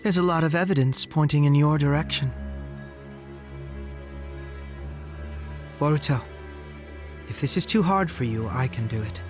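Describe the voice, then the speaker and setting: A man playing a cool, accusing young ninja in an anime game dub, serious and measured. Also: a little high, close to an everyday voice. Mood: cool, firm. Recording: prominent background music.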